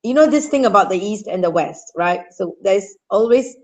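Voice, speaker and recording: A woman from Singapore hosting an online call, soft-spoken and reflective. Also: mid-range voice, animated, normal speed. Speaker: host. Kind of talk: online video call chat. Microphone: computer mic on a video call.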